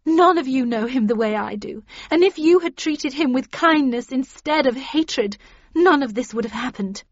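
Haughty young Victorian romantic heroine, voiced by a woman reader, passionate, high-pitched, storybook melodrama. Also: steady tone, slow pace, dry recording, lo-fi sound. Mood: defiant, passionate. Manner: disdainful, melodramatic.

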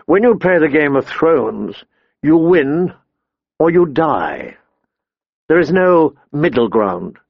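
A man's voice: a little high, very animated, slow pace, gravelly, husky, no room sound, narrow, tinny audio, exaggerated voice. A man voicing a cold, scornful queen. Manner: scornful, taunting. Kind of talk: audiobook character voice.

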